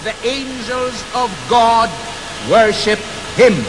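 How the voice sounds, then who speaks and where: A man whose first language is Arabic reads scripture aloud at a public debate, slowly and deliberately. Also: very high voice, fairly even intonation. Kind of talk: public religious speech, reading scripture. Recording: hissy archival hall recording.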